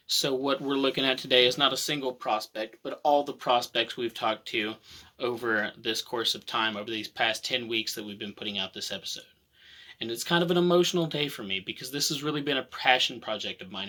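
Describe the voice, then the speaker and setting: A man's voice: mid-range voice, pushed and bright. A man hosting a sports interview show, speaking in an upbeat, enthusiastic way. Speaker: host.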